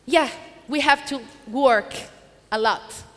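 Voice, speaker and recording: A woman speaking on stage in halting English, in short broken phrases. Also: high-pitched, fairly bright tone. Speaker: event speaker and presenter. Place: theatre stage. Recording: echoey hall, long reverb.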